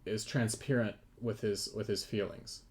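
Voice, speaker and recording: A man's voice: lowish voice, steady tone, a little slow, husky. A man who works as a 3D modeller, explaining things in a thoughtful, hesitant way on a casual live stream. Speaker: game developer and 3D modeller.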